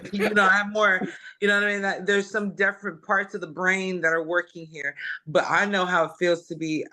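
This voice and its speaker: A woman's voice: lowish voice, level tone, a little fast, sharp tone. A woman with an American accent co-hosting a podcast in casual chat, slangy, playful and bawdy. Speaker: podcast co-host.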